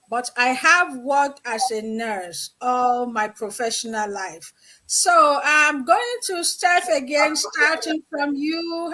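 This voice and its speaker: A woman's voice: high-pitched, pushed and bright, poor audio. A middle-aged woman from Nigeria hosting an online interview show, speaking in an encouraging, motivational way. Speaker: show host.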